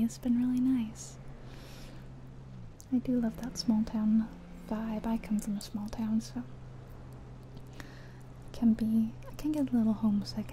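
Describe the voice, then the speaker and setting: A woman whispering softly and breathily in an ASMR roleplay as a friendly taxi driver, chatting gently and without hurry. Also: a little high, poor audio. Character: friendly small-town taxi driver giving a guided ride. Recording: steady car and rain noise. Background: car sounds and rain.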